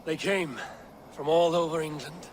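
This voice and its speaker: Man playing a higher-pitched commanding Viking war leader. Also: steady tone, measured pace, background noise, lightly acted. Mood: grave, resolute. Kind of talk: dramatic video game cutscene acting.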